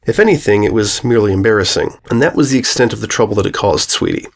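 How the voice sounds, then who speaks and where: A man's voice: on the low side, animated, fast, gravelly. A man narrating a scripted story as its reader.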